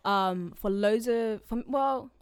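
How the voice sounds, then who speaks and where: A woman hosting a podcast in casual chat, chatty and quick to laugh. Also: on the high side, smooth, dry recording, clean studio sound. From London. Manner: chatty, laughs easily, trails off mid-sentence. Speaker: podcast host.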